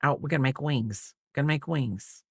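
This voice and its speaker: A woman's voice: a bass voice, normal speed, husky, no room sound, clean studio sound. A middle-aged woman chatting casually as a crochet host, in a rambling way, trailing off and repeating herself. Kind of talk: casual chat while crocheting, counting stitches aloud.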